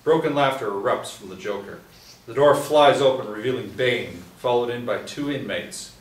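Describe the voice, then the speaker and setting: A man reading stage directions aloud at a table reading, steady with small restarts. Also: medium pitch, moderate pace. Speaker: script narrator. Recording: echoey room from a distance, faint hiss.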